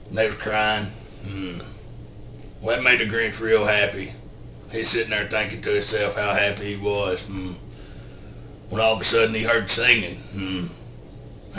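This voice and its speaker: A man voicing a slow, simple-minded rural Southern man, telling a folksy story in halting, deadpan short sentences with grunted hums and a Southern US accent. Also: fairly low pitch. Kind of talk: folksy storytelling, film character impression. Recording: roomy echo, faint background noise.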